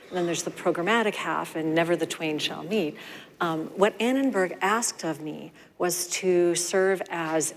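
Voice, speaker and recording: A woman with a fairly low voice talks in polished, well-shaped sentences from a conference stage. A long hall reverb trails her words. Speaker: panelist, foundation AI resident. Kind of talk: conference panel talk.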